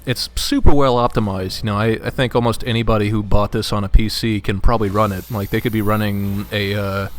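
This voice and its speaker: A man's voice: lowish voice, fairly even intonation, brisk, few pauses, a little hiss or hum. Easygoing man chatting about games. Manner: easygoing, hesitant, storytelling with fillers. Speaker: co-commentator. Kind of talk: casual gameplay chat.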